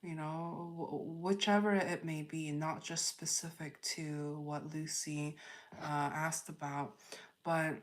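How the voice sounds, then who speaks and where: Slow, low-voiced woman thinking aloud. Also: little pitch movement. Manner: halting, thinking aloud, repeating phrases. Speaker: tarot reader, livestream host. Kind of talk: spontaneous spiritual reading, chatting with live viewers.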